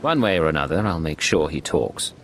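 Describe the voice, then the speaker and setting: An actor playing a cool, confident imperial secret agent in a video game, terse and controlled. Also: male voice, rumbling low, animated, a little fast, a bit bright, faint music behind, exaggerated voice. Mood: confident, ready. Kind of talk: video game character acting.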